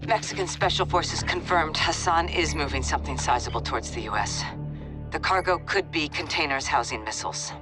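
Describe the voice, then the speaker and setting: A man's voice: a little high, lively intonation, fairly quick, music in the background, natural voice, low-quality recording. An actor playing a formal, serious male official giving a briefing in a video game. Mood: serious, concerned.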